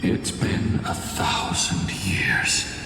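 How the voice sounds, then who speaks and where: A man's voice: sits low, extremely slow, gravelly, heavy reverb, long reverb tail, over music, full character voice. A man voicing an ancient, solemn guardian in a video game, speaking in a grave, formal manner. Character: ancient solemn male guardian bound by pact.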